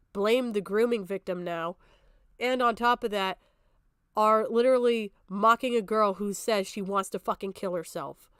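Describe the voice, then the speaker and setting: A woman's voice: medium pitch, a bit bright, smooth, no room sound, studio quality. A woman streamer giving live reaction commentary in a combative, sarcastic way, swearing heavily.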